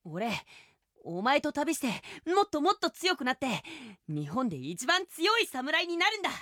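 A woman voicing a young male cat swordsman, eager and earnest, in animated radio drama style. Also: high-pitched, moderate pace, no echo, studio quality, exaggerated voice. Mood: determined, scolding. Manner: cat-like verbal tics, boyish speech.

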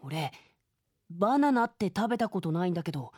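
Boyish, high-pitched grumbling cat creature. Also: male voice, sing-song, fairly quick, a little mellow, breathy edge, no room sound, studio quality, heavily acted. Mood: curious, amazed. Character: young male cat creature with a tail, gruff yet easily amazed. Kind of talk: radio drama acting.

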